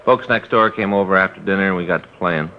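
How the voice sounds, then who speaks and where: An actor playing a put-upon police detective who tells a rambling, aggrieved story, in 1950s radio drama style. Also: male voice, deep voice, fast talker, slight background noise. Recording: dull old radio broadcast.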